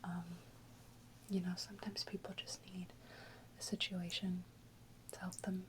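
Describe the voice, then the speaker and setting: A woman whispering softly and unhurriedly in a casual ASMR chat, close to the microphone. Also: lowish voice, level tone, a little slow, a little hiss or hum, low-quality recording. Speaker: ASMR video host.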